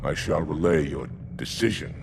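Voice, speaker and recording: A man playing a stern, proud alien military commander in a game, gravelly, formal and restrained. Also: a bass voice, moderate pace, background noise. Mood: stiff deference. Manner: formal, deferential, stiff. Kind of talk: video game cutscene acting. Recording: loud music underneath.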